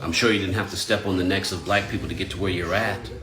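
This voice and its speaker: A man's voice: deep voice, steady tone, fast. A man talking sarcastically and dismissively in a response video. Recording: echoey room with loud music.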